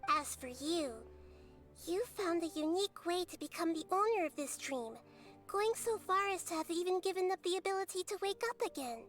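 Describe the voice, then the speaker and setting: A woman voicing a small, calm girl goddess of wisdom in a game cutscene, speaking in a composed, gently analytical way. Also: very high voice, normal speed, faint music behind. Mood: calm probing.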